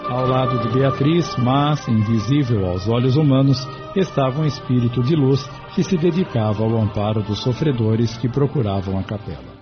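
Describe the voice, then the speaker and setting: A male narrator with a medium-pitched voice reads scripted drama narration. Music plays clearly behind him, and the recording is a little dull. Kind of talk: scripted audio novela narration. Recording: dull sound with background music.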